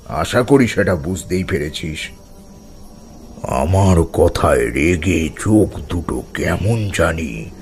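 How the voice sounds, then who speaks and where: An actor playing a grave older tantric priest telling a horror story in a gravelly, solemn, suspenseful manner. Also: male voice, low voice, a little hiss or hum, exaggerated voice. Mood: stern, defiant.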